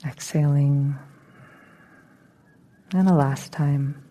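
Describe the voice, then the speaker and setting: A woman meditation teacher leading a guided meditation in a soothing, unhurried, gently instructing manner. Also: low voice, steady tone.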